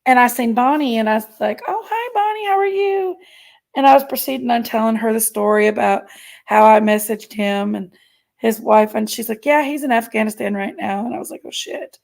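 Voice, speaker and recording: A woman's voice: fairly high pitch, a little fast, vocal fry, darkish tone. A woman, chatting frankly and giggling as she hosts a live stream and reads viewer comments. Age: 40s. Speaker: livestream host. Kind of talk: casual chat reading viewer comments.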